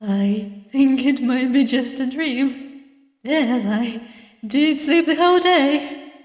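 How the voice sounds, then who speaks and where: A woman voicing a reserved, weary detective who narrates a visual novel in a measured, dramatic way. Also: sits high, darkish tone, pitched above the performer's own voice. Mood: thoughtful. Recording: distant mic, echoey long reverb.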